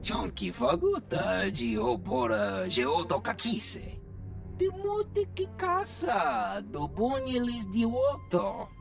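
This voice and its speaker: An actor voicing an alien trader in a video game, speaking a made-up alien tongue with a creaky voice. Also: medium pitch, sing-song, takes it slowly, exaggerated voice. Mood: bargaining. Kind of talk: video game cutscene dialogue. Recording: background music.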